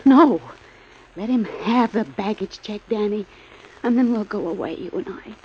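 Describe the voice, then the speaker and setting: An actress playing a scheming, coaxing young married temptress in a 1940s radio drama, on a muffled old broadcast. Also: female voice, mid-range voice, normal speed, a little hiss or hum, lightly acted. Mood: pleading.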